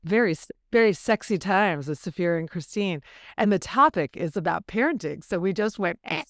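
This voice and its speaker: Woman with a husky cold voice. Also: animated, moderate pace, no echo, clean studio sound. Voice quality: deep throaty voice. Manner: chatty, rambling, filler-heavy. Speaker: podcast co-host; mother. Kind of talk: casual chat.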